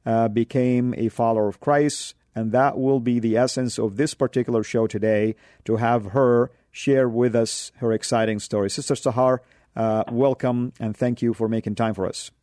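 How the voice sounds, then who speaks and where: Man, from Saudi Arabia, radio host, mellow tone, hesitant with many fillers, dry studio sound. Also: mid-range voice, steady tone, normal speed, no room sound.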